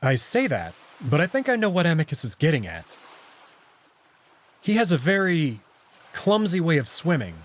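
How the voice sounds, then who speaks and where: A man's voice: a little high, wildly swooping pitch, normal speed, long pauses. A man reading scripted first-person story narration from a visual novel, with acted dialogue. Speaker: narrator and voice reader.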